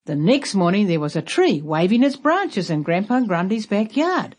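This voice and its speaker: Very animated woman reading a children's story. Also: mid-range voice, normal speed. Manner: expressive, emphatic. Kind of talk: animated children's read-aloud narration.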